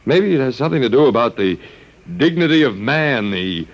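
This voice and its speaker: A man's voice: mid-range voice, lively intonation, fairly quick, natural voice, poor audio. A man playing a stubborn captive in a 1950s radio drama, speaking with dry, sardonic wit. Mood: wry.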